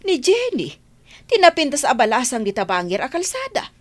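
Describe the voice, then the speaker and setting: A woman's voice: high-pitched, huge pitch swings, a little fast, full character voice. An actress playing a nagging, talkative middle-aged village mother in a comedy radio play. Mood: fussing. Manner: nagging, chatty, given to exclamations.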